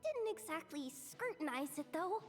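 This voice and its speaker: A woman voicing a tiny childlike fairy companion in a fantasy video game, squeaky, excitable and whiny, with dramatic exclamations. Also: moderate pace, faint music behind. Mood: sheepish. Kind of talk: scripted fantasy game dialogue.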